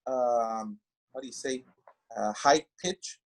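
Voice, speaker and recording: A man, a band singer guesting on a podcast, talking casually and haltingly as he searches for English words. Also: medium pitch. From Mexico. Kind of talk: casual interview chat.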